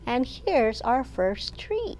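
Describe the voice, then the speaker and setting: A woman librarian hosting a children's storytime, reading aloud in simple, deliberate phrases meant for young children. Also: on the high side, very animated, a little mellow, a little hiss or hum, low-quality recording.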